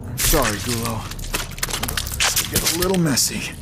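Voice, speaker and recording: Actor as a terse, tough ranger over music. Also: male voice, mid-range voice, expressive, normal speed, lightly acted. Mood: somber. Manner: matter-of-fact, terse. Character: tough, confident male ranger hero of a wasteland.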